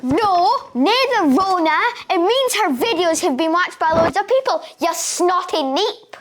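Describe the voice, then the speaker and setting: A woman's voice: very high-pitched, lively intonation, measured pace, faint music behind. A woman playing an upbeat, teasing pantomime dwarf with a North-East Scottish Doric accent. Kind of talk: comic pantomime dialogue.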